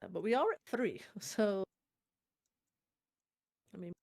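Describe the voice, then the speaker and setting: A woman with a German accent talks through a card game in a relaxed, chatty way. She is German native, often agrees with her partner, and thinks aloud. Her pitch sits mid-range for a woman. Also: slow pace, creaky. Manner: chatty, agreeable, frequent "yeah, exactly" affirmations. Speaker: guest co-streamer. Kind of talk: casual gameplay chat.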